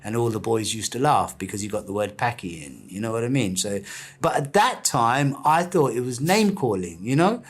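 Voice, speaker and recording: Casting: British man, middle-aged, mid-pitched, colloquial interviewee telling his own story conversationally. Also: expressive, moderate pace, breathy edge. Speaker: interviewee, survivor recalling school years. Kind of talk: conversational personal recollection.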